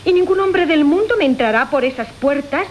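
An actress playing a haughty, flirtatious, sharp-tongued widow in a theatrical radio comedy, tart and imperious, with a Castilian accent. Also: female voice, high-pitched, lively intonation, fairly quick. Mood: scheming, coy. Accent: Castilian Spanish. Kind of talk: theatrical Golden Age comedy radio acting. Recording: hissy 1980 radio drama recording.

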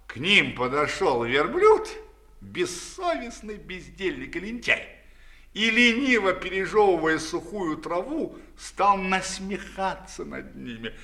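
A man narrating a children's fairy tale in an expressive, theatrical storyteller's manner. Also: high-pitched, takes it slowly. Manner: expressive, dramatic delivery.

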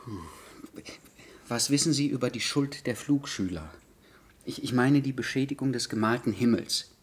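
An actor playing an officious aviation investigator in a radio drama, formal and easily flustered. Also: male voice, mid-range voice, normal speed, breathy edge, lightly acted. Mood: flustered, inquiring. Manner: formal, hesitant, muttering to himself.

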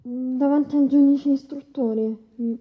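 A woman's voice: high-pitched, fairly even intonation, measured pace, soft background music. An actress playing a young woman testifying as a complainant in a dramatised trial, answering plainly, tersely and with care. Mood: guarded. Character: guarded young female complainant testifying before the judge. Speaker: actor in a radio courtroom play. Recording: dull, long reverberant hall sound.

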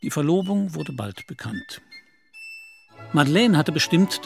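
Composed storyteller, mid-pitched male voice, literary narration, radio drama, clear music bed.

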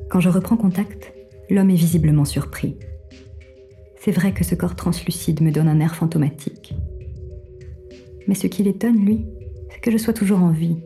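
A woman narrating an audio drama in a calm, measured way, also speaking as an artificial companion. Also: lowish voice, creaky, faint music behind.

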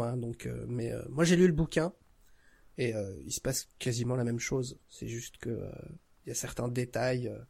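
A man's voice: mid-range voice, gravelly, darkish tone. A man chatting casually as a podcast guest, enthusiastic and often searching for his words. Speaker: podcast guest, audio drama creator. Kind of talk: casual chat.

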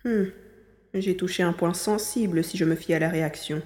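An earnest young boy, voiced by a woman, speaks with a mellow, rounded tone. The manner is caring and a little worried, in the style of an audio drama. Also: lowish voice, fairly even intonation, moderate pace, close to an everyday voice. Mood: curious. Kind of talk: French audio drama acting.

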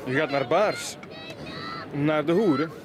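An actor playing a sceptical young student worker in a radio play, terse and questioning. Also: male voice, fairly high pitch, slow. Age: young adult. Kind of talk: 1980s Flemish radio play acting. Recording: radio play with clear outdoor noise.

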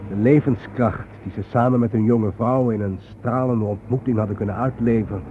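An actor playing a solemn, reflective journalist in a radio play, speaking in grave, deliberate, philosophical phrases. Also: male voice, medium pitch, fairly even intonation, close to an everyday voice. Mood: bitter. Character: solemn, reflective male journalist haunted by war dead. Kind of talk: radio drama acting. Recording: thin phone-like old radio recording, faint noise.

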